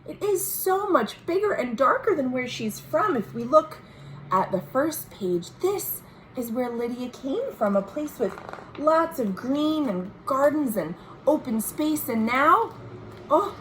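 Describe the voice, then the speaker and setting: A woman with a fairly high voice leads a children's storytime, reading very slowly and pausing to point out pictures. Her melody swings widely and expressively. It is a home recording with faint noise behind her. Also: very animated, poor audio. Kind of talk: picture book read-aloud with asides to young listeners.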